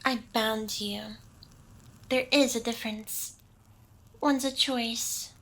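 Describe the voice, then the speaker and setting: A woman's voice: fairly high pitch, steady tone, takes it slowly, a bit bright, heavily acted. A woman playing an ancient, smug, seductive demon boss in an ASMR roleplay, with an intimate, teasing delivery.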